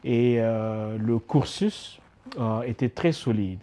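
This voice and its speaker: A man's voice: fairly low pitch, slow pace, dark tone. Hesitant Congolese man, interview guest. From Democratic Republic of Congo. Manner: hesitant, many fillers and restarts. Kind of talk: casual interview talk.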